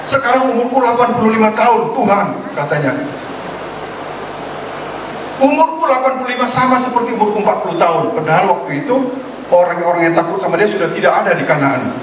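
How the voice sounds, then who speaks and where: A male pastor preaching a sermon in a lively way, asking the congregation questions and acting out quoted voices. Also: high-pitched, fast talker. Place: church hall. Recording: echoey hall, distant mic, noise.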